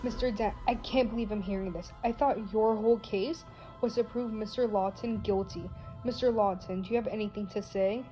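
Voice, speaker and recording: Woman acting a mellow-voiced female judge over music. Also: medium pitch, fairly even intonation, moderate pace, dull audio, natural voice. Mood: formal, disbelieving. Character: formal, stern female courtroom judge. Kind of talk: amateur superhero radio play. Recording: music behind the voice.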